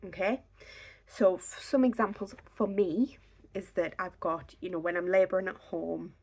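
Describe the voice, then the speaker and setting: A young woman with a slightly raspy, croaky voice, chatting casually to a camera on a tripod at home, giving pregnancy advice. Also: on the low side, steady tone, normal speed, a little mellow. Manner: chatty, filler-heavy, reassuring. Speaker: pregnancy vlogger. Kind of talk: casual instructional talk to camera.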